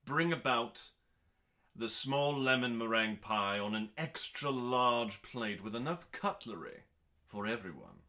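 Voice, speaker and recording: A male host delivers scripted text as mock drama. His voice sits at a middling pitch with a bright, forceful edge. He takes his time, leaving theatrical pauses and sounding grandly self-important. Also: animated. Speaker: host reading comedic cookbook recipes.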